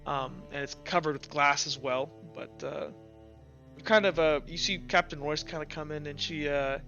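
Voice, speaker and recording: A man running a tabletop game, improvising narration in a halting, informal way. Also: fairly high pitch, fairly even intonation, vocal fry, sharp tone. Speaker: game master. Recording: slightly muffled, music bed behind.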